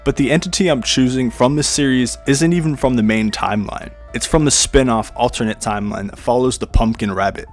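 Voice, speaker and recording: A man's voice: mid-range voice, a little fast, few pauses. A man giving a scripted countdown narration as the host of a horror video. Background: music.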